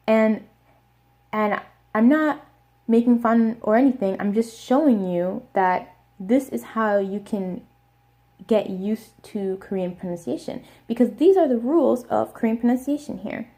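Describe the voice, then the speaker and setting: American woman, young adult, warm mellow tone, casual lesson, careful repetition. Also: mid-range voice. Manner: explains step by step, repeats words slowly. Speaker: language teacher.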